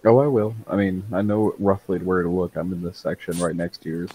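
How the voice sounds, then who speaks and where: Co-host type: low, dark-toned man, brief replies. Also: fairly even intonation, a little fast, husky, low-quality recording. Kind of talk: casual chat.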